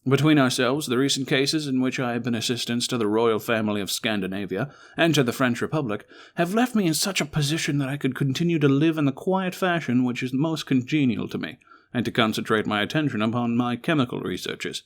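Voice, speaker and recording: Man acting brilliant Victorian gentleman detective, intense, formal, emphatic speech, weary yet determined, theatrical audio drama. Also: medium pitch, a little fast, close to an everyday voice.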